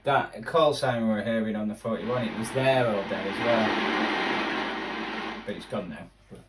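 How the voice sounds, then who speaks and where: A man's voice: fairly low pitch, normal speed, very noisy. A man giving casual, unscripted commentary as a radio hobbyist, rambling hesitantly and thinking aloud while he tunes. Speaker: shortwave radio hobbyist presenter. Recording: distant mic with radio audio behind.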